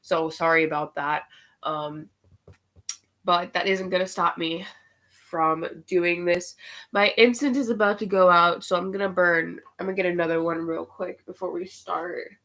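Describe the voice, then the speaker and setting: A woman with a cold and a nasal voice, unhurried and full of "um" fillers, giving spiritual guidance and leading a meditation on a live stream. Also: on the low side, fairly even intonation, fairly bright tone. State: sick with a cold.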